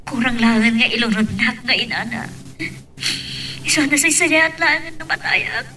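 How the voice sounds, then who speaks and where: Radio drama role: aggrieved, pleading young woman; high-pitched, sharp tone, some noise behind. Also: normal speed. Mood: hurt, tearful. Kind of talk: melodramatic radio drama acting.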